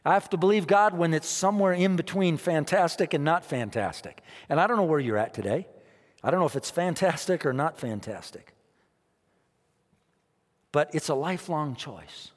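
A man preaching a sermon as pastor, emphatic, repeating his phrases and swinging between quiet and loud. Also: on the high side, animated, moderate pace, big-room sound. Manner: emphatic, repeats phrases, rises and drops in loudness.

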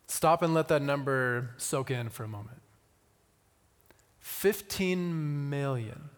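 A man giving a conversational sermon with personal stories, using deliberate pauses and repetition and touches of wry humour. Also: medium pitch. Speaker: missionary speaker. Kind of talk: sermon with personal storytelling to an audience. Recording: reverberant hall.

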